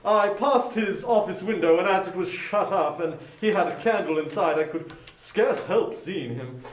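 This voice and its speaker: A man's voice: sits high, moderate pace, reverberant, slight background noise, close to an everyday voice. A man playing a genial, fond husband chatting to his wife in a dramatic reading. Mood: musing. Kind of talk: dramatic audiobook acting.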